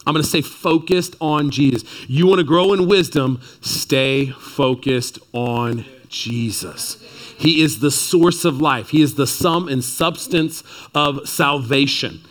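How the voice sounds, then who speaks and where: Male pastor, thirties, from Texas, fairly high voice, emphatic sermon delivery. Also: takes it slowly. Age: 30s. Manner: emphatic, rising to excited peaks, then dropping low and quiet. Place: church before a seated congregation.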